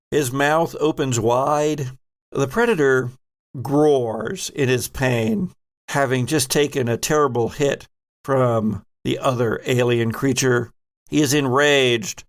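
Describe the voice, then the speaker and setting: Gravelly man narrating with theatrical flair. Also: mid-range voice. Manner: theatrical, drawn-out storytelling. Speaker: host and game master. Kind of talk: dramatic narration of a tabletop horror game.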